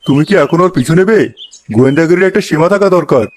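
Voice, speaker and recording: A man's voice: a little high, fast talker, close to an everyday voice. A man voicing a sensible, exasperated middle-aged father-in-law in a comedy cartoon. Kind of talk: Bengali cartoon comedy acting.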